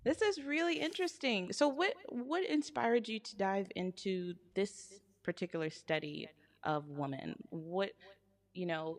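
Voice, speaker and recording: A woman hosting a podcast, asking her guest questions in a warm, encouraging way. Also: mid-range voice, vocal fry. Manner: warm, encouraging, asks questions with gentle restarts. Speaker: podcast host. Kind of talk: interviewing a guest.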